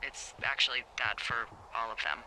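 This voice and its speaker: An actress playing a wry, bossy, foul-mouthed fire lookout supervisor in a video game, teasing and casual over a handheld radio. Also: female voice, very deep voice, steady tone, normal speed, background noise. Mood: wry, matter-of-fact. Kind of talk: naturalistic video game dialogue.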